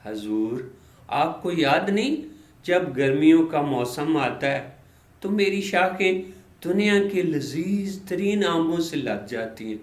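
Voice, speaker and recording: Man as a storybook mango tree, calm, self-assured, deferential, roomy sound. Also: on the high side, close to an everyday voice. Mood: assured, courteous. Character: confident, contented male mango tree, courteous to royalty.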